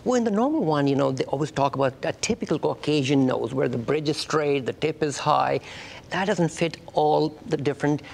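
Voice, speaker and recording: A man's voice: fairly high pitch, expressive, a little fast, creaky. A man in his fifties from Pakistan with a Pakistani accent, speaking conversationally as a surgeon guest in a TV studio interview. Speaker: facial plastic surgeon, guest. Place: TV studio talk-show set.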